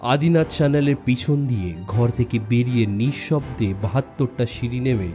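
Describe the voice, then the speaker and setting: A man narrating a suspense story in a measured, dramatic way with deliberate pauses. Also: medium pitch, warm tone. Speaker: audiobook narrator. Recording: music bed behind the voice. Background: background music.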